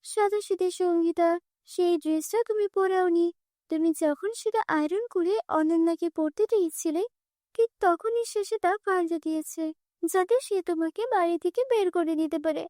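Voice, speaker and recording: A woman voicing a kind, wise fairy in a cartoon, speaking calmly and reassuringly. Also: very high-pitched, moderate pace, no echo, clean studio sound. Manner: calm, reassuring. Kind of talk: cartoon dubbing.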